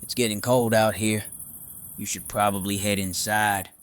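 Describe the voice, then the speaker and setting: A man playing a gruff but gentle, reserved crossbow hunter in an audio drama, speaking tersely. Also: little pitch movement, normal speed, natural voice. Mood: guarded, kindly. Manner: terse, low-key. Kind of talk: fan audio drama acting.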